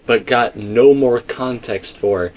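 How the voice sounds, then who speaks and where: A man's voice: medium pitch, slow pace, darkish tone, muffled recording. A man co-hosting a casual, unscripted discussion, talking in a rambling, conversational way full of qualifications. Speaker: co-host of a video game theory discussion.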